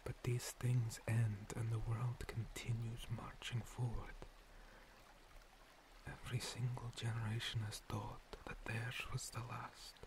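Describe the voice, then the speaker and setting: A man playing an ancient, gentle monster priest, a scarred former paladin, in a breathy, halting, soothing ASMR roleplay whisper. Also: medium pitch, level tone, noisy background, natural voice. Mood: tender, wistful. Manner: slow, soothing, halting pauses. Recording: water streaming and pouring behind. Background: running water.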